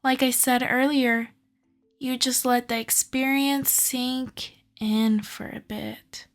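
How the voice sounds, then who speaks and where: A woman's voice: high-pitched, little pitch movement, slow, faint music behind. A woman playing an obsessive, sweetly possessive young kidnapper in love, coaxing and doting in an ASMR audio roleplay. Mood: doting, reassuring. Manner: doting, coaxing, softly controlling.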